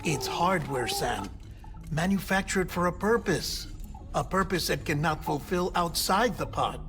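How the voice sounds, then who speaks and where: An actor playing a smooth-talking, clinical scientist in a cinematic video game, explaining things in theatrical, precise phrasing. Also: male voice, a little high, animated, a little slow, music in the background. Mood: insistent, clinical. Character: fussy, smooth-talking male scientist-doctor, clinical and secretive.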